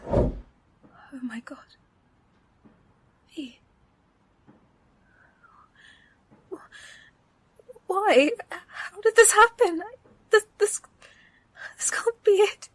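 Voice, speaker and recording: A woman's voice: extremely high pitch, animated, takes it slowly, long pauses, dry recording, heavily acted. A woman playing an anxious, protective, devoted character in an audio roleplay, earnest and emotional. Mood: distraught.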